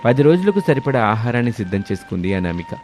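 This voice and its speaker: A man's voice: mid-range voice, very animated, quick pace, a little mellow. A man giving scripted narration for a moral storybook tale. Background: background music.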